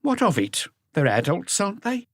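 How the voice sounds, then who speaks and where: A man's voice: high-pitched, huge pitch swings, normal speed, a bit bright, no room sound, studio quality, pitched above the performer's own voice, exaggerated voice. A man voicing a blunt, guarded female concierge in an audiobook, giving curt, offhand answers.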